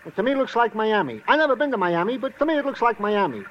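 An actor playing a naive, chatty, good-natured New police sergeant as comic relief in an old radio drama. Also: male voice, high voice, big pitch swings, fast talker. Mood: earnest, naive. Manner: naive, chatty, literal-minded. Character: simple, eager, good-natured male police sergeant sidekick. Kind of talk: 1940s-50s radio comic-relief acting. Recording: muffled, noisy vintage radio recording.

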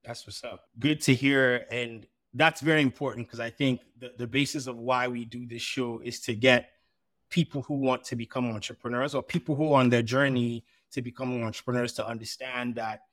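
A man's voice: medium pitch, fairly even intonation, moderate pace, a bit bright, no room sound, studio quality. A man co-hosting a podcast, speaking in a rambling, conversational way as he builds up long questions. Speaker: podcast co-host. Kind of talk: podcast interview.

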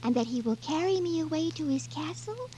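A woman playing a sweet, innocent young princess with a gentle, girlish manner in a 1940s radio drama. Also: high-pitched, expressive, brisk, slight background noise, rough recording. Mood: hopeful, dreamy. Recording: old 1940s radio recording, loud music.

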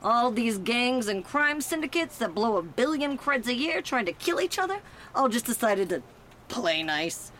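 A woman playing a skeptical, brisk police detective in a scripted noir audio drama, deadpan and teasing. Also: on the high side, a little hiss or hum. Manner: deadpan, matter-of-fact, teasing.

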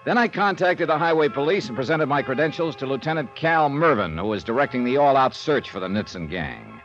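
Male tough, wry American insurance sleuth, 1950s radio drama, very mobile pitch, muffled old recording. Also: big pitch swings, brisk, fairly bright tone, soft background music. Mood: crisp, businesslike narration.

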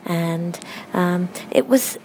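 A woman's voice: on the low side, very slow, darkish tone, slight background noise, low-quality recording. A woman with a London accent, leaving a casual, rambling message as a caller to a podcast. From London, England. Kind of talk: casual, rambling voicemail chat.

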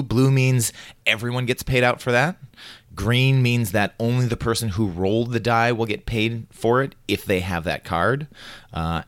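A man with a fairly low, slightly nasal voice talks as a podcast co-host. He explains game rules in an informal, teasing way. The recording is dry and close. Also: no echo.

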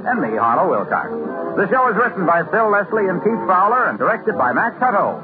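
High, animated vintage American radio announcer. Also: male voice, a little fast, over music. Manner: polished, emphatic salesmanship. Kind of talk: 1950s radio announcing, scripted ad copy. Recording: tinny, faintly hissy old radio recording.

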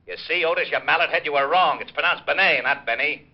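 An actor playing a gruff, exasperated male police lieutenant in a 1940s radio drama, brusque and given to barking orders. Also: a little high, quick pace. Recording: dull old radio broadcast.

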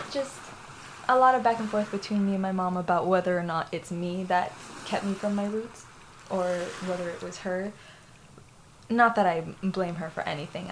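A woman's voice: on the low side, a little hiss or hum, poor audio. A young woman from Florida with a Puerto Rican family, telling a personal story in a candid, rambling way, full of fillers and laughs. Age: young adult. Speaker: interviewee.

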